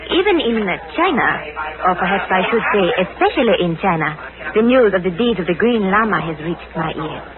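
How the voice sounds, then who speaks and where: An actress playing an elegant, flattering Chinese lady with formal, flowery speech in a 1940s radio drama. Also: medium pitch, fairly quick, a loud crowd, natural voice. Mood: gracious, flattering. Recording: muffled 1940s radio recording.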